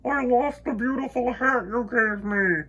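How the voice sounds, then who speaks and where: A man voicing a friendly storybook moon that replies in drawn-out, mimicking calls. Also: very high-pitched, bright, forceful tone, muffled recording, pitched above the performer's own voice, heavily acted. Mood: echoing, friendly. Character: storybook moon, gently echoing a bear's words back.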